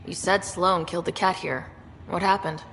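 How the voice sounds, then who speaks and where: A woman's voice: fairly low pitch, steady tone, normal speed, long reverb tail, noisy background, natural voice. A woman playing a composed young explorer hero in a video game, direct and dry in delivery. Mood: direct, questioning. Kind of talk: video game cutscene acting.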